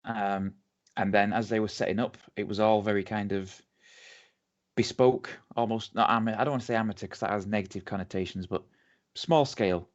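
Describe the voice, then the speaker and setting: A man's voice: fairly low pitch, fairly even intonation, fairly quick. A man with an English accent, co-hosting a podcast and telling a distillery's story in a rambling, chatty way. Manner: chatty, rambling with many ums and restarts. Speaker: podcast co-host. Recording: dull lo-fi audio.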